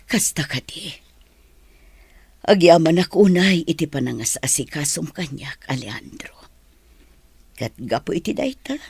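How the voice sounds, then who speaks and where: Ailing, husky, breathy wife. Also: female voice, low-pitched, no room sound, full character voice. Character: ailing, forgiving wife just out of hospital. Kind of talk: radio drama acting.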